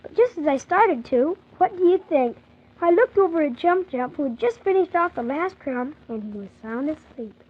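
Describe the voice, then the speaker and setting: A woman's voice: sits high, lively intonation, normal speed. A woman playing an earnest, polite young orphan boy who tells his story wide-eyed in a 1940s children's radio drama. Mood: wide-eyed narrating. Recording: thin, phone-like 1940s radio recording.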